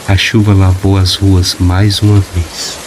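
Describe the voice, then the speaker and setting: Low, flat-voiced male narrator. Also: slow, noisy background. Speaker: audiobook narrator. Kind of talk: scripted narration.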